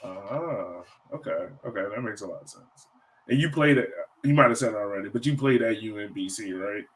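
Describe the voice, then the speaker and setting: A man's voice: fairly low pitch, fairly quick, rough recording. Casual American man hosting a podcast. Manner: informal, slangy, says "man" and "yo" often. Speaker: podcast host, interviewer. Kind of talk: casual interview chat.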